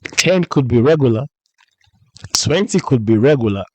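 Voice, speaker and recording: A man with a flu-roughened voice, hosting a reaction channel and commenting bluntly and jokingly on clips. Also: medium pitch, lively intonation, normal speed, no echo, low-quality recording. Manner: blunt, joking, repeats himself. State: hoarse from flu.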